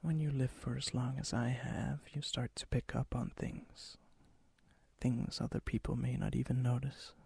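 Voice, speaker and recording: A man playing a charming, ancient vampire and hopeless romantic in a soft-spoken, often whispered ASMR roleplay, slow, intimate and courteous, with a breathy, husky voice. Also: mid-range voice, fairly even intonation, no echo. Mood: flirtatious, courteous. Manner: slow, intimate, teasing, old-fashioned courtesy. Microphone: close mic, dry sound.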